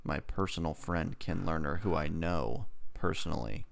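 A man hosting a podcast in casual, joking banter, teasing in a dry, deadpan way. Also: very deep voice, moderate pace, creaky. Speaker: podcast host.

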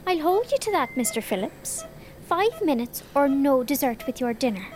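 High, lively nurse, noisy recording. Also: female voice, moderate pace. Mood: playfully firm. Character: brisk young hospital nurse, firm but playful. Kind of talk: radio drama dialogue. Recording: clearly noisy background.

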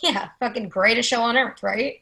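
A woman's voice: a little high, normal speed, gravelly, bright, forceful tone, breathy edge, full character voice. A woman playing a brash, profane young woman in a play reading, blunt and slangy. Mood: excited, brash. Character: brash, profane young New York woman, impatient and excitable.

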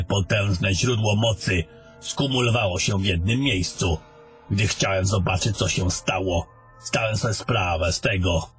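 A man playing an old, haughty, sardonic wizard in a fantasy audio drama, lecturing in a deliberate, grumbling manner over music. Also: fairly low pitch, natural voice. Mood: grave. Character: old haughty sardonic male wizard, gruff mentor to a soldier.

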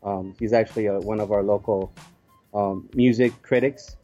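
A man speaking as an event organizer and interview guest, explaining casually and a little haltingly with examples. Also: fairly low pitch, fairly even intonation, dark tone, muffled recording, soft background music.

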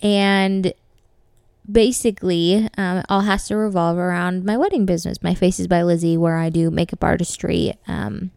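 Young woman podcast host, raspy dry throat, easygoing chatter, dry close-miked sound. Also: fairly low pitch, fairly even intonation, moderate pace, darkish tone, no echo. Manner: chatty, laughs often, frequent ums. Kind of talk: casual faith-based podcast monologue.

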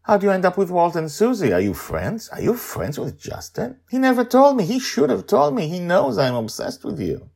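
A man voicing a brisk, practical woman in a storytelling podcast, reassuring and excitable, with an emphatic, eager manner. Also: sits high, gravelly, slightly rough, pitched above the performer's own voice, heavily acted. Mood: excited, hurt.

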